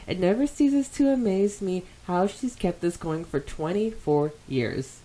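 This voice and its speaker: A woman's voice: fairly low pitch, expressive, a little slow, warm tone, rough recording. A woman co-hosting a casual fan podcast, chatting and reading out listener comments in a giggly, enthusiastic way. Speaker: podcast co-host.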